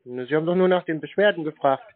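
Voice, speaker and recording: A man giving terse, laconic, deadpan replies as a customer on a phone call. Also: a little high, rapid-fire. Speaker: scambaiting prankster posing as customer. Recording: narrowband telephone sound.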